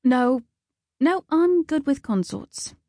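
A woman voicing a brash, flippant young male trickster god with a quick-witted manner. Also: high voice, expressive, a little mellow, dry recording, clean studio sound, pitched above the performer's own voice. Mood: flippant, nervy.

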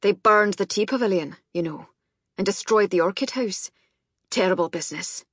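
Woman acting a gruff, sharp Scottish male boss. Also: mid-range voice, normal speed, no room sound, clean studio sound. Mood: brusque. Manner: barking, muttering, sharp.

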